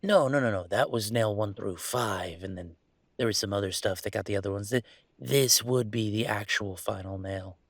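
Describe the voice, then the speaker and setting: Gravelly, wry young werewolf played by a man. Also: fairly low pitch, dry recording. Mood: hurt, indignant. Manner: halting, sardonic, rambling confiding. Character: troubled young male werewolf, wry, self-deprecating, hurting. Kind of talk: intimate audio roleplay monologue.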